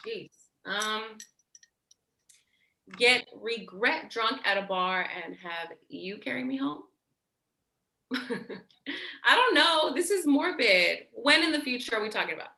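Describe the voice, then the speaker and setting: A woman's voice: fairly high pitch, expressive, slow, vocal fry, pauses often, sharp tone, full character voice. A woman acting a blunt, teasing, protective young woman in a staged play reading, with casual, sweary, sardonic delivery. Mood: wry. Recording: roomy, echoey sound.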